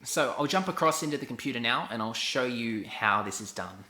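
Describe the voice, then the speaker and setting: A man's voice: mid-range voice, animated, a little fast, sharp tone. A young man, giving a casual, conversational how-to talk and repeating phrases for emphasis. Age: 20s. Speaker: lifestyle vlogger. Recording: echoey room.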